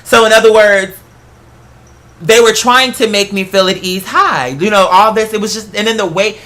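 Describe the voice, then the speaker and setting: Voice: medium pitch, normal speed, sharp tone. A solo host in a casual, rambling monologue, talking in an emphatic, conversational way with some swearing. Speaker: host of a solo talk show. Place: apartment.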